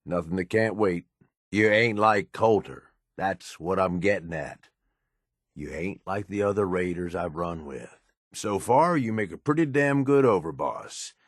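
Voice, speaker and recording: A deep, close and dry male voice for a video game: a gruff middle-aged raider who serves as the boss's right hand. He talks bluntly and pragmatically, worn down by years of experience, in loose, profane slang. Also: lively intonation, unhurried, no echo. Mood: easygoing, sincere. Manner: blunt, world-weary, drawling slang and profanity. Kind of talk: video game character dialogue.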